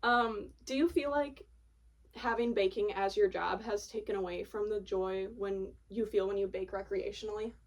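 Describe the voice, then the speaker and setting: A woman's voice: medium pitch, fairly even intonation, normal speed, distant mic. A young woman hosting a casual podcast interview, talking informally and agreeably with a friend. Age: young adult.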